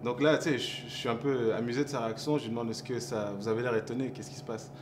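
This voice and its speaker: A man's voice: mid-range voice, fairly even intonation, fast. Reflective man from Montreal, noisy café. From Montreal, Quebec. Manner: reflective, searching for words with many fillers. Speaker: hip-hop artist guest. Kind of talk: casual interview chat.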